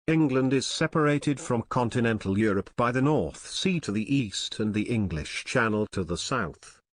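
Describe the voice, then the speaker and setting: A man's voice: medium pitch, animated, moderate pace. Male reader, mid-range voice, for scripted factual narration; dry, echo-free recording. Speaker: sample answer reader. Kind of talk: scripted read-aloud narration.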